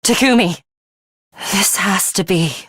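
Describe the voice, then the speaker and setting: A proud young woman from a dramatic video game. She speaks very slowly and haughtily in a breathy voice, recorded close and dry. Beneath the imperious manner she is fatalistic and quietly caring. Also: mid-range voice, no echo. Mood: alarmed. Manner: haughty, deliberate, breathy delivery with hushed drops.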